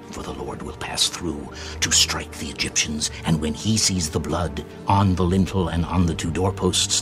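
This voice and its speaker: Commanding ancient Hebrew leader, very low register, solemn and measured, biblical audio theater over music. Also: male voice. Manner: slow, weighty, solemn proclamation. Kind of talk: dramatized audio Bible acting.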